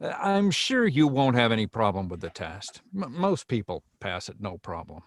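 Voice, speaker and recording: A man's voice: on the low side, sing-song, moderate pace, no echo, clean studio sound. A man playing a seedy, evasive agency boss in his fifties, with a hesitant salesman's manner, at a screenplay table read. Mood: evasive, businesslike.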